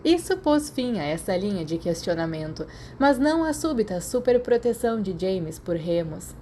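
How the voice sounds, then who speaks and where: A woman with a Brazilian accent reads scripted fiction as an audiobook narrator. Her voice sits at a medium pitch. There is faint noise in the recording. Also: big pitch swings, moderate pace, mellow.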